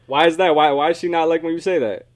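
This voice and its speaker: A man's voice: a little high, fast talker. A man from the Philadelphia area hosting a podcast, asking questions in a casual, slangy way. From United States, Philadelphia area. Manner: slangy, informal. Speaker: podcast host. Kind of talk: casual interview.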